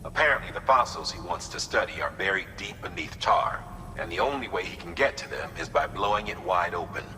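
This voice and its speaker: A man's voice: low voice, lively intonation, a little fast, sharp tone, full character voice. A man playing a stern, businesslike dispatcher giving a mission briefing in a video game, firm and dryly cautioning. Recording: reverberant, faint background noise.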